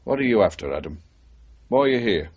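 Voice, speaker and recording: Husky, cynical ex-policeman, muffled radio. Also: fairly low pitch, sing-song, fairly quick, a little mellow, exaggerated voice. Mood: guarded. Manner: guarded, wry. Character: wary, cynical male ex-policeman. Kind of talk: 1980s radio drama acting. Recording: dull, muffled radio broadcast recording.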